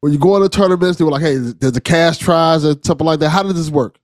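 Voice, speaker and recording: A man's voice: on the high side, fast talker, a little mellow. A man hosting a casual interview, asking curious questions in an informal, impressed way. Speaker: podcast host.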